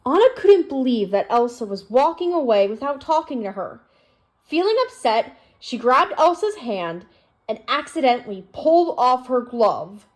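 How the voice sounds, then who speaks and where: A woman reading a children's storybook aloud in an expressive storytelling manner. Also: fairly high pitch, moderate pace. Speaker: storybook reader.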